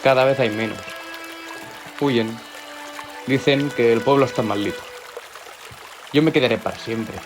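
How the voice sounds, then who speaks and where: A man's voice: medium pitch, a little slow, pauses often, close to an everyday voice. Man acting a playful young villager over music. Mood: serene. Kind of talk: audio fiction character acting. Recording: music clearly behind the voice.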